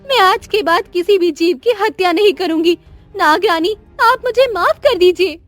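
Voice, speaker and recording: A woman's voice: very high-pitched, moderate pace, soft background music, pitched above the performer's own voice. A woman voicing an earnest young queen and devoted mother in a cartoon moral story, speaking with feeling. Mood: remorseful, pleading.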